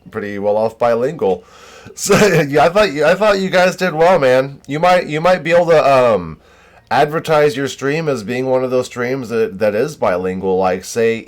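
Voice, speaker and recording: A man live streaming a game, chatting casually in an encouraging, conversational way. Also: mid-range voice. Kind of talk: casual chat.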